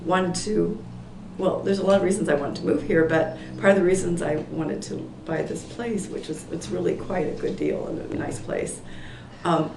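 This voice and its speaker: Hoarse gravelly woman in her sixties. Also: lowish voice. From Portland, Oregon, US. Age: 60s. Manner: rambling, many fillers and restarts, laughs lightly. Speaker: applicant at a public hearing. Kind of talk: informal testimony at a town meeting. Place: town meeting room. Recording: echoey hall, far from the mic, faint noise.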